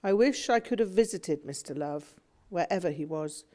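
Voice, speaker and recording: A woman narrating a memoir audiobook in a measured, reflective way. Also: lowish voice, animated, a little mellow.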